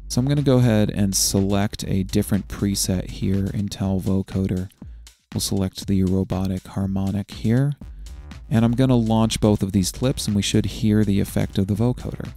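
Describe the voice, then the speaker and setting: A man narrating a step-by-step software tutorial in a methodical, explanatory way. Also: on the low side, fairly even intonation, moderate pace, soft background music.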